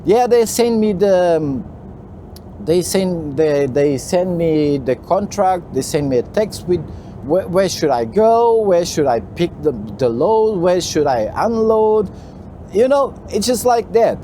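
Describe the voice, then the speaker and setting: A man with a Portuguese accent talks unhurriedly from his truck cab, his voice high yet soft and dark. He stumbles and repeats phrases, leaning on "you know." A faint road and engine hum sits behind him. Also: lively intonation, takes it slowly. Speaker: truck driver vlogger. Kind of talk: casual talk to camera while driving.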